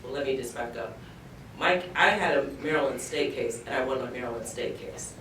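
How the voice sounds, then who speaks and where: A middle-aged man speaking earnestly and deliberately as a panelist, with measured pauses. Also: fairly bright tone. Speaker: panelist, entrepreneur. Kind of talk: earnest panel discussion speech. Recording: echoey room, distant mic, background rumble.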